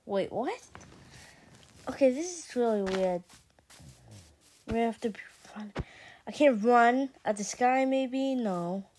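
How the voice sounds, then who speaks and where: A woman's voice: a little high, lively intonation, slow, long pauses, a little mellow, slight background noise. A woman giving casual let's play commentary, rambling and thinking aloud to her viewers. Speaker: gaming video host. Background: background music.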